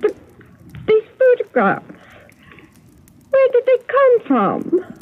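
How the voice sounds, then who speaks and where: Genteel elderly spinster, tinny old radio. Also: female voice, very high voice, wildly swooping pitch, takes it slowly, noisy background, heavily acted. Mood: distraught. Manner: gracious, emotional storytelling. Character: elderly genteel spinster, hospitable yet haunted and bitter. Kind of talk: radio drama acting.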